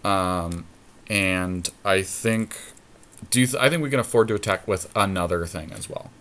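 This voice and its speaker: A man's voice: low-pitched, normal speed. A man co-hosting a podcast, chatting casually and thinking aloud as he plays a card game. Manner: deliberating, thinks out loud. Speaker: podcast co-host.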